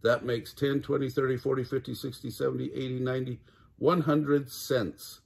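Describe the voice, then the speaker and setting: Man as a confident, husky boy ringleader. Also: medium pitch, flat delivery, normal speed, darkish tone, pitched above the performer's own voice. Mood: pleased. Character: confident, scheming young boy, proud ringleader.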